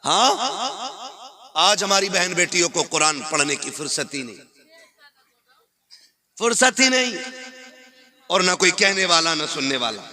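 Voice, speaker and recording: A man with a high, forceful voice and a North Indian accent delivers an impassioned Islamic sermon. He drives his points home with rhetorical questions and emphatic repetition, speaking as a cleric to a live gathering. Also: expressive, measured pace, pauses often. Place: live religious gathering with audience.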